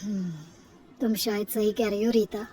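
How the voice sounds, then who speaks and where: A woman's voice: mid-range voice, flat delivery, moderate pace, far from the mic, music in the background, voice pushed down, lightly acted. A woman voicing an earnest, devoted village husband and new father in a moral-story cartoon. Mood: resolved.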